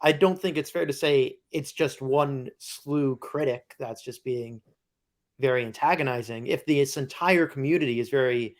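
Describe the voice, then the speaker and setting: A man in a middle register puts questions to his guest at a steady pace. He probes carefully but keeps it conversational. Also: medium pitch, moderate pace. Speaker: interviewer. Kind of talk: casual interview questions.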